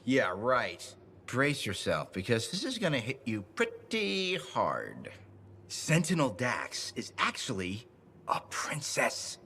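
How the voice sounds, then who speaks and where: An actor playing an eager, needy male gossip in a video game, animated and swooping between yelps and confiding tones. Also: medium pitch, slow pace, a little hiss or hum, full character voice. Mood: excited, confiding. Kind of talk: video game cutscene dialogue.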